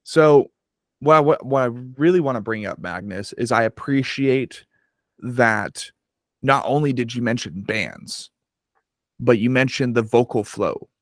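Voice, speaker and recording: A man's voice: medium pitch, expressive, a little slow, no echo, clean studio sound. A man hosting a podcast, talking animatedly and swearing freely as he rambles through his points. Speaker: podcast host. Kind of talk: casual interview chat.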